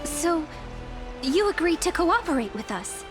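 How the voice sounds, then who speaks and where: A woman's voice: extremely high pitch, big pitch swings, unhurried, heavily acted. A woman voicing a polite, composed young heroine in a video game, speaking earnestly and respectfully. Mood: tentative. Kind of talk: scripted video game voice acting. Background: faint game music.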